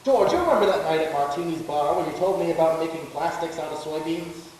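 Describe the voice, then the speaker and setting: A man playing a brash, hearty young wheeler-dealer in a live radio play, loud and backslapping in manner. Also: a little high, fast, close to an everyday voice. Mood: brash, confident. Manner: boisterous, backslapping. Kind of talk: live 1940s-style radio play acting. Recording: echoey hall, distant, faint hiss.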